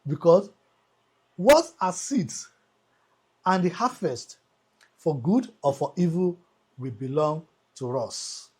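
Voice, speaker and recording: A man hosting a scripted motivational monologue, speaking emphatically like an orator, with deliberate repetition and pauses. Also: a little high, animated, a little mellow, breathy edge. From Africa. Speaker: host.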